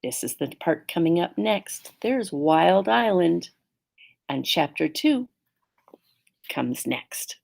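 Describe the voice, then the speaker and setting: Low-voiced woman reading a children's story. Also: expressive, slow, vocal fry, long pauses, a little mellow. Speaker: schoolteacher reading aloud to pupils. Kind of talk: children's storybook read-aloud.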